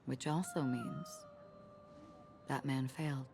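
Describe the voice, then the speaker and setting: An actress voicing a calm, forgetful young swordswoman in a game, speaking quietly and half-whispered. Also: extremely low pitch, slow, noisy background, exaggerated voice. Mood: wistful. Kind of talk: game voice acting. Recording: game audio with music underneath.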